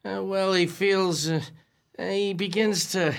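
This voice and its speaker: A man's voice: high-pitched, steady tone, slow pace, creaky, exaggerated voice. An actor playing a bumbling middle-aged working-class father in a radio comedy, stammering and folksy, easily flustered. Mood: folksy, cheerful. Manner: stammering, folksy ungrammatical speech. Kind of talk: old-time radio situation comedy acting.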